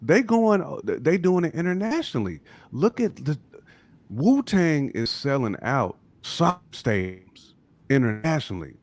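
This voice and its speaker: A man's voice: a little high, very animated, measured pace, darkish tone. An American man in a casual, opinionated podcast discussion, speaking emphatically and repeating his points. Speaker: music podcast panelist.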